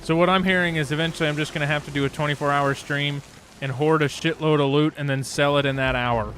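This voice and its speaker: A man in his twenties with a slightly high voice, chatting casually over a game stream. He swears freely and talks like he is among friends. Faint noise sits behind him. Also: steady tone, quick pace, fairly bright tone. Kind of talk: casual chat while gaming with friends.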